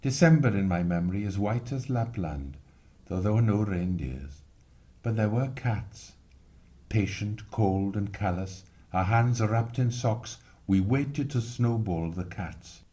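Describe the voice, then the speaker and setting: Low-voiced man reading a literary story. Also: expressive, a little slow, mellow. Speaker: reader. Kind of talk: expressive literary story narration.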